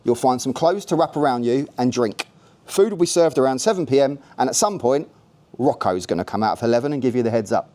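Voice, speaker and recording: A man's voice: medium pitch, lively intonation, a little fast, a little mellow, natural voice. A man voicing a stern, weary woman angel who reads out instructions in an audiobook-style story. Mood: brusque.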